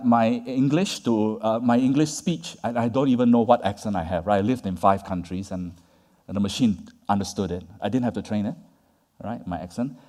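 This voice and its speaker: A man's voice: mid-range voice, warm tone. A man giving a conference lecture, talking to the audience in a conversational way and tagging his points with "right?" and "yeah?". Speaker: conference speaker. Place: conference hall. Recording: long reverb of a large hall.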